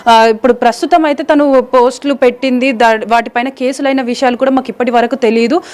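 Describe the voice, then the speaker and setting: High, rapid-talking woman field reporter. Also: fairly even intonation, a little mellow. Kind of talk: live on-location reporting.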